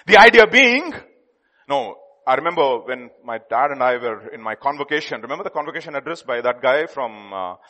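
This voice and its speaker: A man preaching a sermon, emphatic, jumping between loud declarations and hushed asides. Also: medium pitch, sing-song, a little fast. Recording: long hall reverb.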